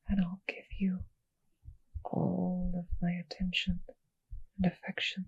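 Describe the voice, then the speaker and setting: A woman voicing a seductive, possessive and doting vampire noblewoman in an intimate ASMR roleplay, speaking in tender, teasing, breathy whispers. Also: fairly low pitch, dark tone, no echo, highs cut off, heavily acted. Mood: possessive, loving.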